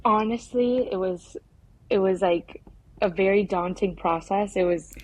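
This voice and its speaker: Young woman, informal and halting filmmaker. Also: medium pitch, poor audio. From United States. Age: young adult. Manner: informal, halting, peppered with filler "like". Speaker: documentary filmmaker being interviewed. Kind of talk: casual conversational interview answers.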